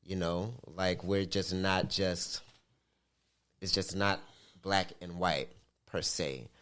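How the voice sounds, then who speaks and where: A man with a New Orleans accent on a casual podcast, measured and hedging, filling pauses with "you know.". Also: low voice, fairly even intonation, sharp tone, clear, resonant tone. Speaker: podcast co-host.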